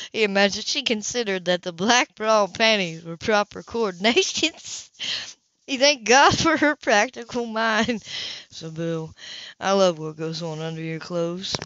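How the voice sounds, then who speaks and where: A woman's voice: medium pitch, a bit bright, dry recording. Woman reading fiction aloud, dull lo-fi sound. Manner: stumbles over words and corrects herself. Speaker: amateur audiobook reader. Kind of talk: reading a romance novel aloud.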